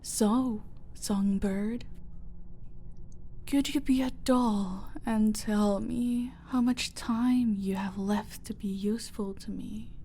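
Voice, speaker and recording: Woman playing slow, menacing female mafia boss. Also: on the high side, warm tone, slight background noise, exaggerated voice. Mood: mocking sweetness. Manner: mock-sweet, taunting, drawn-out, slipping into breathy whispers. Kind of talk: roleplay audio drama, slow intimate villain monologue.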